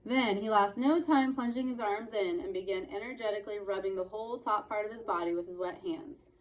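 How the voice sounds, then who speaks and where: A woman reads a children's book aloud as a storyteller. Her voice sits at a medium pitch, and she phrases deliberately and dramatically, leaning on key words. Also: rough recording. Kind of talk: expressive children's book narration.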